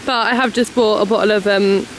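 A young British woman chatting casually to a handheld camera, gushing and excitable. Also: mid-range voice, a little fast. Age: young adult. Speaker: travel vlogger. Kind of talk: casual vlog chat. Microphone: handheld camera at arm's length. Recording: noisy handheld camera amid loud crowd chatter.

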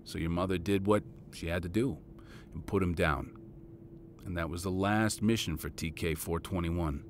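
A man playing a devoted father and veteran intelligence monitor in an audio drama, speaking in a measured, matter-of-fact way. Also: natural voice. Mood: grim, reflective. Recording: audible low rumbling background noise.

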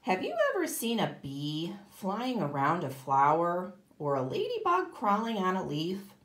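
A woman's voice: on the low side, very animated, measured pace, roomy sound. A woman teaching kindergarten, reading a children's book aloud expressively and explaining it to young listeners. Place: indoor room, at a table.

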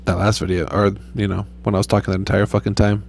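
Young man, low voice, flat and low-key, rambling gamer chatter, relaxed. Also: quick pace, vocal fry, slight background noise. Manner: laid-back, rambling, frequent swearing. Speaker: gaming YouTuber. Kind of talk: casual gameplay commentary.

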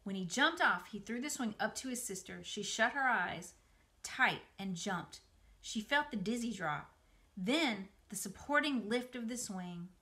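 A middle-aged woman reading a children's story aloud indoors, in an expressive, dramatized way. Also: mid-range voice, a little slow. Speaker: museum educator reading aloud. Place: small room by a window, indoors.

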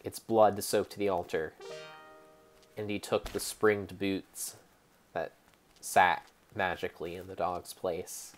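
A young man narrating gameplay like a dramatic storybook, in a theatrical, measured way with pauses. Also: low voice, little pitch movement, soft background music. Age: young adult. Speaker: game streamer.

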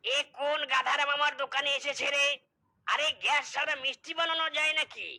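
Sharp, high, exasperated cartoon shopkeeper voiced by a woman. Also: steady tone, brisk, full character voice. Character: blunt, exasperated cartoon sweet-shop seller. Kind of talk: comedy cartoon character dialogue.

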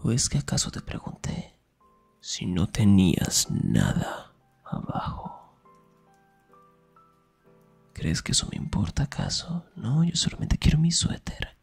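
A young man performing an intimate ASMR roleplay as a teasing, affectionate best friend, murmuring in a breathy, husky whisper. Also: lowish voice, wildly swooping pitch, takes it slowly, pauses often, darkish tone, soft background music.

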